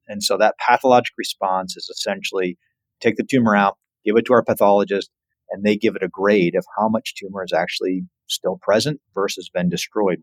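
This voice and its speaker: A man's voice: fairly low pitch, no room sound, low-quality recording. A man speaking as an expert guest in an interview, explaining things in informal, plain wording. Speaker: surgical oncologist, podcast guest.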